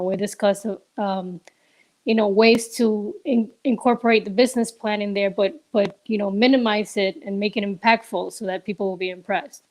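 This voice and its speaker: A woman's voice: medium pitch, darkish tone, poor audio. A young woman from Ecuador, a business co-owner, answering interview questions casually and hesitantly, with many fillers and restarts, over a remote call.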